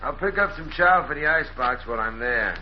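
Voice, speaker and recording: Actor as a brash wisecracking manservant, muffled old radio. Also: male voice, mid-range voice, lively intonation, fairly quick, a little hiss or hum, lightly acted. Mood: breezy. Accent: American. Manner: brash, slangy. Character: breezy, wisecracking tough-guy manservant. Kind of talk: old-time radio drama acting.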